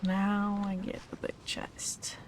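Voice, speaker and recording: A woman's voice: medium pitch, completely flat delivery, slow, background noise. A tired woman streaming a video game, muttering and whispering casual asides to herself. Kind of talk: casual gameplay commentary. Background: game music.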